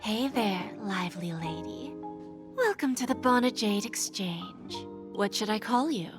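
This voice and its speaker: An actress playing a poised, teasing woman dealmaker in a video game, speaking in a sly, measured way. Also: mid-range voice, animated, over music, lightly acted. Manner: slow, sly, measured, sometimes breathy near-whisper.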